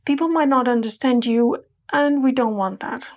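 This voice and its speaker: A woman from Portugal, teaching a playful, emphatic scripted language lesson. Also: sits high. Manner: playful, emphatic, slows to spell out words.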